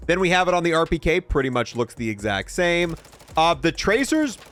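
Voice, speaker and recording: High-pitched, animated man presenting games. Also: fairly quick, soft background music. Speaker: gaming video presenter. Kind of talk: casual game showcase commentary.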